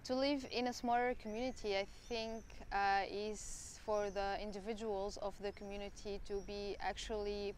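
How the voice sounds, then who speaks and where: A woman in her twenties from Tunisia, a volunteer giving thoughtful interview answers and pausing to find her words. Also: mid-range voice, flat delivery, a little hiss or hum. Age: 20s.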